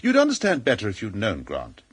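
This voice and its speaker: A man's voice: on the low side, wildly swooping pitch, brisk, husky, dry recording, heavily acted. A man playing an earnest, authoritative British intelligence chief in a radio drama, formal and clipped in manner. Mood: earnest, brisk.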